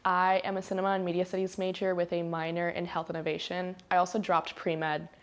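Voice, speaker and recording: A woman's voice: lowish voice, level tone, brisk. A young woman from New Jersey in casual panel chat, chatty, with many fillers. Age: young adult. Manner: chatty, frequent "like" and "um". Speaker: student panelist.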